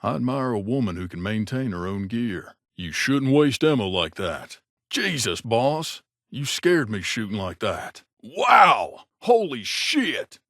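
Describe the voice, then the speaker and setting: An actor playing a loyal, wry gunslinger companion in a video game, talking casually and familiarly. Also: male voice, mid-range voice, sing-song, takes it slowly, fairly bright tone, no echo, clean studio sound, exaggerated voice. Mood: startled, exasperated. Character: loyal wasteland gunslinger companion man, wry, devoted to his mother.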